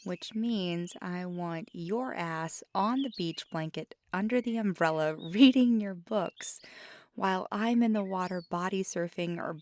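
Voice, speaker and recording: A woman playing a feisty, affectionate magical girlfriend in an ASMR roleplay, talking casually and often dropping to a breathy murmur. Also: lowish voice, measured pace, a little mellow, natural voice. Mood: playful, animated. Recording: clear background noise with birdsong. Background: birdsong and outdoor ambience.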